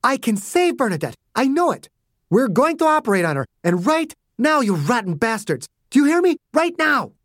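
A furious, defiant woman doctor who yells and curses at the men around her, as a male reader puts her on. The voice jumps high and swings wildly in pitch. The recording is dry and close. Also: huge pitch swings, a little fast, breathy edge, dry recording, heavily acted. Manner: shouting, swearing, accusatory.

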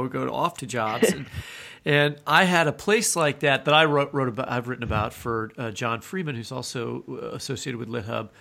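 A middle-aged American man with a strongly creaky voice of average pitch. He talks like a podcast interviewer, conversational and halting, doubling back on words before getting to his point. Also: sharp tone. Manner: halting, repeats words, circles toward the question. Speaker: podcast co-host and writer.

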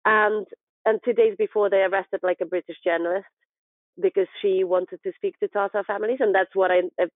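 A woman's voice: medium pitch, steady tone, brisk, no echo. A young woman from southern Ukraine, chatting as an interview guest with lots of restarts and fillers. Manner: hesitant, full of restarts and fillers. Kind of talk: casual interview chat. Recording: dry, phone-like line.

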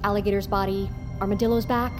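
An actress playing a composed scientist in an audio drama, explaining things in a matter-of-fact way. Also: female voice, mid-range voice, fairly even intonation, fast talker, over music, lightly acted. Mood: composed, certain. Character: composed woman scientist on an expedition crew.

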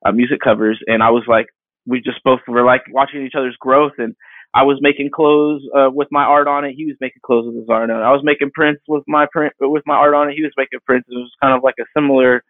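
Gravelly man in his thirties on a tinny line. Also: mid-range voice, fairly even intonation, dry recording. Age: 30s. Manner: rambling, halting, with many fillers and restarts. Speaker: digital artist guest. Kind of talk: casual interview answers. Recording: dry tinny phone-like line.

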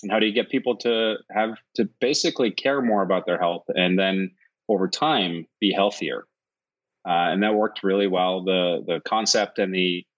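A man from Iceland, a company founder answering interview questions in a steady, thoughtful way, often listing points in runs. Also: deep voice, highs cut off. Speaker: guest, tech founder.

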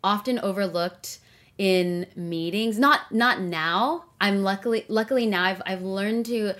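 Young woman, mid pitch, chatty and earnest, filler-heavy guest interview delivery. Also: a little slow, a bit bright. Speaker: podcast guest. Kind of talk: casual interview conversation.

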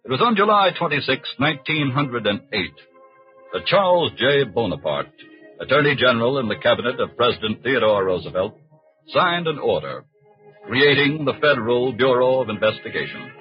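A man's voice: mid-range voice, very animated, moderate pace. A man with an American accent giving scripted 1940s radio narration as announcer, formal, solemn and emphatic. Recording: muffled vintage 1940s radio recording. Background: music behind the voice.

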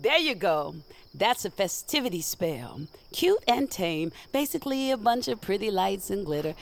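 A woman's voice: medium pitch, big pitch swings, moderate pace, gravelly, slight background noise. An actress playing a seasoned magic user in an audio drama, a frank and protective mentor who teases as she instructs. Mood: encouraging.

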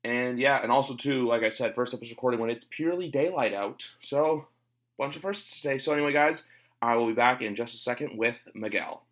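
A man's voice: medium pitch, fairly quick, a bit bright. A man hosting a casual sports podcast, talking with a cold and rambling through lots of fillers. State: has a cold. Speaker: podcast host. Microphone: home recording, guest on internet call.

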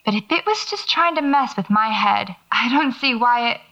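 A woman's voice: on the high side, expressive, normal speed, bright, forceful tone. An actress playing a nervous, wry horror writer who records her eerie explorations on a lo-fi tape, muttering to herself in an audio drama. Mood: shaken, reflective. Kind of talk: audio fiction acting, recorded field diary. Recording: dull lo-fi cassette tape sound.

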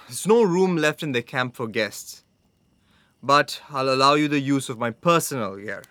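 A young dutiful guard in an audio drama, scornful, measured pace, very dry close sound. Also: male voice, mid-range voice, animated, a bit bright, no room sound. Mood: curt. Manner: formal, curt, intense.

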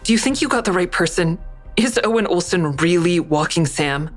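Blunt, guarded female lead: deep-voiced, dry first-person narration and dialogue for audio drama. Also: animated, normal speed, soft background music. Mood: probing, guarded. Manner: dry, terse. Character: guarded, blunt, defiant woman narrating her story.

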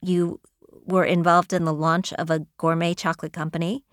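A woman with a slightly low voice hosts the interview, asking questions conversationally and slipping in playful asides. The recording is dry and close. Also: flat delivery, moderate pace, no room sound.

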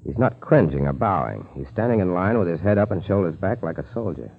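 An actor playing a businesslike, watchful American FBI agent in a 1940s radio drama. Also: male voice, low voice, sing-song, fairly quick, vocal fry, a little hiss or hum, heavily acted. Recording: thin, phone-like 1940s radio recording.

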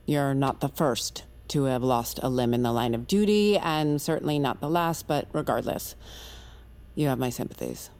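A woman's voice: very deep voice, normal speed, a little mellow. A woman playing a stern, cold institute interrogator in an audio drama, curt and commanding.

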